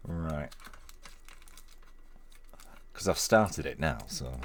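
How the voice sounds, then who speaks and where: A man's voice: extremely low pitch, animated, extremely slow. A man with a Manchester accent commentating his gameplay bluntly, swearing often. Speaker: game streamer. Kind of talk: casual gaming commentary. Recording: noisy stream audio.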